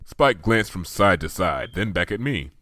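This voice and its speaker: A man reading a comic fan story aloud in an animated way, doing many voices. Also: sits low, unhurried, dry recording, studio quality. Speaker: reader.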